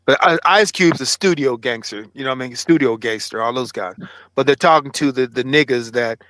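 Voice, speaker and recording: A man's voice: mid-range voice, fairly quick, fairly bright tone, no echo. A man hosting a livestream in casual chat, rambling, profane and self-assured. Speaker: livestream host.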